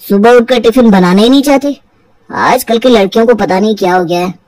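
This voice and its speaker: A woman's voice: fairly high pitch, fairly quick, darkish tone, no room sound, pitched below the performer's own voice, lightly acted, low-quality recording. Lady doing a young husband in a story, casual, bit grumbly, dry close sound. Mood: casual, grumbling. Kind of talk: acted Hindi audio storybook.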